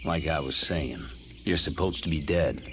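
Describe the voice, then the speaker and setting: Game hero: tough, sardonic mutant, gruff very low voice, terse lines, music behind. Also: male voice, normal speed. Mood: guarded, sardonic. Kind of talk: video game voice acting.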